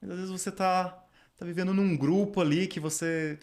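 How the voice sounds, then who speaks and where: A man's voice: high voice, fairly even intonation, normal speed, darkish tone. A man hosting a podcast interview, musing aloud and asking reflective questions with hesitant restarts. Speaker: podcast host.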